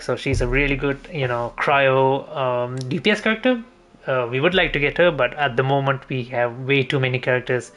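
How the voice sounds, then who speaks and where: A man's voice: medium pitch, a bit bright. A man chatting casually as a live game streamer, rambling and repeating phrases as he thinks.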